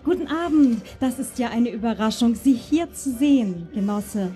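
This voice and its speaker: A woman's voice: on the high side, lively intonation, normal speed, warm tone, faint music behind, close to an everyday voice. A woman playing a chatty, polite Soviet wife on holiday in a live radio play. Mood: sociable. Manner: polite, sociable.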